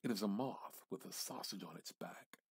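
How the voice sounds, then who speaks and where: A man giving an amateur dramatic reading of literary fiction, theatrical and halting, with pauses and emphatic repetition. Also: fairly low pitch, creaky, slightly rough, no echo, clean studio sound. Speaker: amateur reader.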